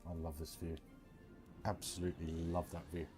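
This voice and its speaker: A man's voice: low-pitched, monotone, normal speed, over music. A man hosting a casual game livestream, chatting directly to his viewers as he gives commentary. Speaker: livestream host.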